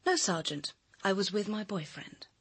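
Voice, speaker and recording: An actress playing a cool, guarded personal assistant in a radio crime drama, speaking in a controlled, clipped way. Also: female voice, mid-range voice, very animated, normal speed, breathy edge, no echo. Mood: controlled, terse.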